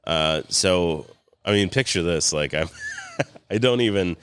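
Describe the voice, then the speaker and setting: Very deep-voiced man, rambling. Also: lively intonation, measured pace, fairly bright tone, clean tone. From California. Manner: rambling, many fillers and restarts, chuckles often. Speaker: guest, cigar company co-founder. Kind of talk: casual interview chat.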